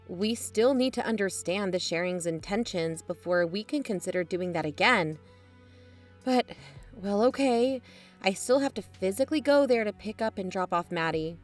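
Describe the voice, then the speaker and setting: Audio drama role: guarded, practical female investigator, played by an actress, medium pitch, naturalistic delivery, music bed. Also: expressive, moderate pace, natural voice. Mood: earnest, firm. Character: pragmatic, guarded woman, an amateur investigator.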